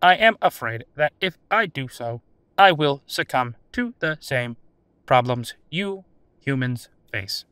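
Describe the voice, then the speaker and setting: Audio drama casting: male actor as aging sentient computer, formal, literal, stilted delivery, slow pace, dry close sound. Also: medium pitch, expressive, bright, forceful tone, clean tone, dry recording. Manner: stilted, formal, deliberate phrasing without contractions. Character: old sentient AI computer, formal, literal, dryly attempting humor. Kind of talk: scripted audio drama interview.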